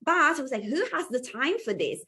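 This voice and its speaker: A woman's voice: high voice, very animated, a little fast, bright, forceful tone. Enthusiastic woman host, native Italian. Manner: enthusiastic, friendly, hesitant fillers. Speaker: podcast host. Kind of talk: casual interview chat.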